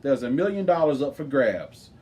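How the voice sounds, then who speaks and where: A man's voice: mid-range voice, sing-song, normal speed, darkish tone, lightly acted. A man reading the part of a confident, businesslike teenage girl team leader in a storybook. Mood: brisk, prompting.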